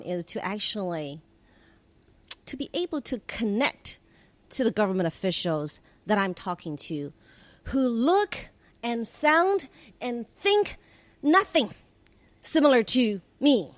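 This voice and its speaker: A reflective woman in her forties, from China, recounts her work life in an easy conversational way. She often restarts her sentences and laughs softly, in a voice of average pitch. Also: lively intonation, unhurried, dry recording. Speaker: podcast guest, former government relations head. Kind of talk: conversational interview storytelling.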